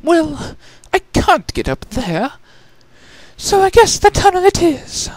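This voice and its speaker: A dramatic, ladylike pony speaks in a very high, swooping falsetto, performed by a man. She is fussy and theatrical, given to scolding herself. It suits a cartoon-based storybook reading. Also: very animated, slow, no room sound, full character voice. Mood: nervous. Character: dramatic, fussy young female pony, gem-loving and ladylike.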